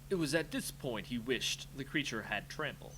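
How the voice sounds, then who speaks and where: A man's voice: mid-range voice, animated, normal speed, sharp tone, rough recording. A man giving live gameplay commentary, thinking aloud in a casual, reactive, muttering way as he streams a card game. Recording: clear background noise with hum.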